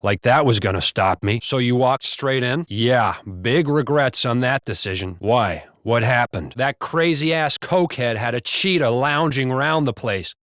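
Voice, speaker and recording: Video game cop, played by a man: American, mid-pitched, blunt and profane wisecracker, casual delivery, very dry sound. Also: unhurried, hardly any pauses, a bit bright, dry recording, close to an everyday voice. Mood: irritated, griping. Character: foul-mouthed, gossipy male American cop on duty. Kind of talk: video game character banter.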